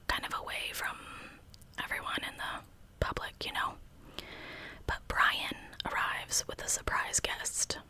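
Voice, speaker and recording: Whispering woman, bright and crisp ASMR storyteller. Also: low-quality recording. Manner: informal, conversational narration with casual asides. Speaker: true crime ASMR host.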